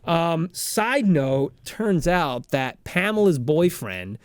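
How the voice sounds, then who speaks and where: A man hosting a podcast, telling a story in a halting, animated, rambling comic recap. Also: a little high, smooth, dry recording. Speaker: podcast host.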